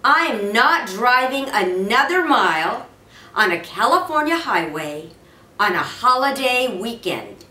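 Stubborn storybook dad, voiced by a woman, emphatic and animated, in a roomy space. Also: a little high, slow pace, pitched above the performer's own voice, full character voice. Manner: firm, emphatic. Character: stubborn, tired father defending the hotel. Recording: roomy, distant mic with faint noise.

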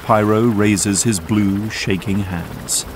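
A man's voice: fairly low pitch, steady tone, takes it slowly, noisy background. A man delivering scripted narration for an audio drama, as its narrator.

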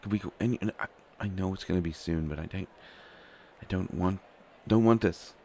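Man playing a deep-voiced, flustered reluctant prince. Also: brisk, a little mellow, slight background noise, lightly acted. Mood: pleading, despondent. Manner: flustered, hesitant, stammering, sighing. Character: reluctant, anxious young bastard prince, soon to be king. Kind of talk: roleplay audio drama monologue. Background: faint music.

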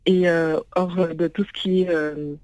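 A woman's voice: on the low side, steady tone, measured pace, darkish tone, no room sound. A woman whose first language is English, speaking as a guest over the phone and hesitating with lots of fillers. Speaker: guest, founder of a parents' venue. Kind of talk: phone interview. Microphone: phone call.

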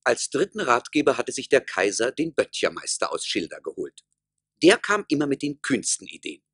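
A man's voice: mid-range voice, huge pitch swings, moderate pace, fairly bright tone, breathy edge, dry recording. A man narrating a radio play as a storyteller.

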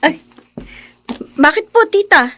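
A woman playing a respectful, emotional young adult daughter in a Filipino radio drama, polite and expressive, with a Filipino accent. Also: very high-pitched, slow pace, full character voice. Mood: worried.

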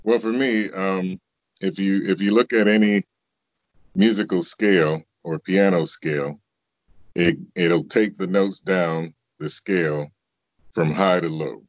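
A middle-aged American man with a very deep bass voice, chatting casually as an interview guest on a video call. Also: fairly even intonation, measured pace, no room sound. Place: small room at home, indoors. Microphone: video call, close to device. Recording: dull lo-fi video call audio.

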